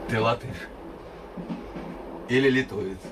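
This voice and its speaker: An actor playing a lecturing old Soviet veteran. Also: male voice, mid-range voice, a little slow, noisy background, lightly acted. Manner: lecturing, grows heated and emphatic. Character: older male Soviet veteran, boastful and lecturing. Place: train compartment.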